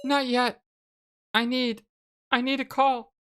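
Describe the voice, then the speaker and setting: A woman's voice: sits high, flat delivery, slow pace, fairly bright tone, soft background music, voice pushed up. A woman voicing a frightened teenage girl in a horror game, with urgent, pleading exclamations. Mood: panicked, pleading.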